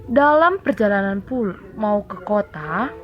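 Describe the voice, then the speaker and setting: A young woman tells a folktale to children like a student teacher. Her delivery is deliberate and didactic, with dramatic pauses. The audio is slightly dull, with music clearly playing underneath. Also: mid-range voice, lively intonation. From Indonesia. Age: young adult. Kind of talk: children's storytelling. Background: background music.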